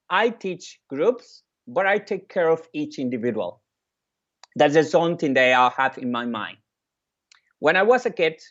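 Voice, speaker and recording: Venezuelan-accented man giving a talk, muffled sound. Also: mid-range voice. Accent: Venezuelan Spanish. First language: Spanish. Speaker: keynote speaker, music educator and orchestra leader. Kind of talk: keynote speech with personal storytelling.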